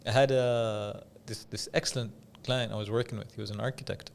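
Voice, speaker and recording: A man from Dubai speaking as an interview guest, telling stories in a measured, conversational way with pauses and repeated phrases for emphasis. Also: fairly low pitch, fairly even intonation, creaky. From Dubai, UAE. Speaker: podcast guest, business coach.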